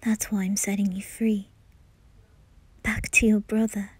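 Woman playing a slow, hushed, lovesick young aristocrat man. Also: mid-range voice, little pitch movement, slightly rough, dry recording. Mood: tender, resigned. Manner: slow, hushed, often whispering, halting. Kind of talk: ASMR roleplay, acted monologue.

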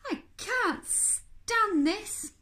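Woman voicing tired, exasperated storybook father bear, drawn-out. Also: extremely high pitch, lively intonation, sharp tone, pitched above the performer's own voice, heavily acted. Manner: drawn-out, wailing, emphatic complaints.

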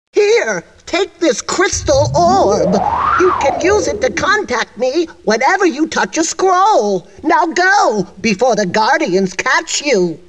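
A timid little cartoon gnome speaks in a high, lively voice. He is nervous and furtive, like a servant sharing secrets, and takes his words slowly. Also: full character voice. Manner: nervous, conspiratorial, cautioning. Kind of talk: cartoon video game character acting.

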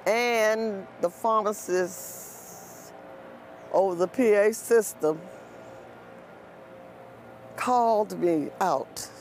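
A woman in her eighties, a retired nurse, slowly and deliberately recalling her working life in an outdoor interview. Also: medium pitch, a little mellow, slight background noise. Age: 80s. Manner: slow, deliberate storytelling. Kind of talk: interview recollection. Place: outdoors by a city street.

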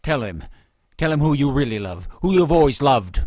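A man's voice: mid-range voice, very animated, moderate pace, far from the mic, noisy background, lightly acted. An actor playing a cruel usurper lord in a radio drama, mocking his captives with theatrical, taunting mock courtesy. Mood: commanding.

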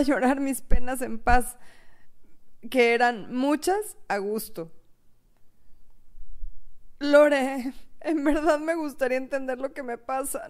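Heartbroken, high-voiced young woman, acted by a woman. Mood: sad, confused. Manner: emotional, dramatic. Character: heartbroken, anxious young woman, obsessed with her ex-boyfriend. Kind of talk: radio drama acting with first-person narration.

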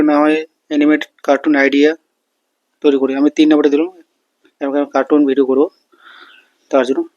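A man presents a how-to in a casual, step-by-step way. His voice sits a little high for a male speaker, and the sound is dry with no room to it. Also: a little mellow, dry recording. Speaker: tutorial host. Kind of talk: casual step-by-step screen tutorial.

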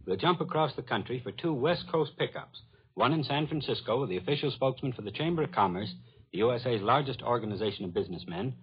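Male American news anchor, 1940s radio style, heavily raspy mid voice, muffled vintage recording. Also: medium pitch. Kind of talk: 1940s broadcast presenting.

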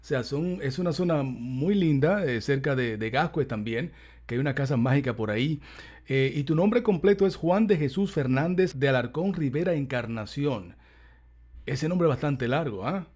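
A man's voice: medium pitch, moderate pace, a little mellow, husky. A middle-aged man hosting a YouTube interview, upbeat and welcoming, speaking into a studio mic in a home studio. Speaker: interviewer host. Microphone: studio mic on boom arm.

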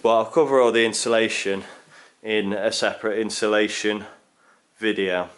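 A man's voice: lowish voice, steady tone, moderate pace. A young man filming himself on a handheld camera in a barn under construction, explaining his building work casually with fillers. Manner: explanatory, thinking aloud with fillers. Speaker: DIY builder vlogger. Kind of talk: casual walkthrough narration. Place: indoor barn under construction, stone walls. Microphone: handheld camera at arm's length.

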